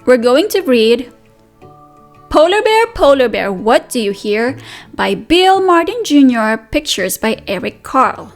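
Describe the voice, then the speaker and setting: A high-voiced woman leads a children's read-aloud as a preschool teacher. Her delivery is slow and sing-song, with lots of questions and repeated phrases, and it swings widely in pitch. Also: very animated, faint music behind.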